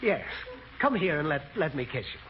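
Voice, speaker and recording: A man's voice: on the high side, sing-song, moderate pace. A man playing a frantic, theatrical father in a 1940s radio comedy. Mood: relieved, sweet. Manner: theatrical, exaggerated. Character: excitable, frantic father of a little girl. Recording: hissy, muffled old radio broadcast.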